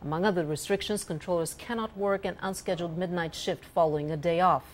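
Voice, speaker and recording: A woman's voice: on the low side, steady tone, fast talker, a little hiss or hum. A woman presenting the news and putting interview questions in a broadcast style.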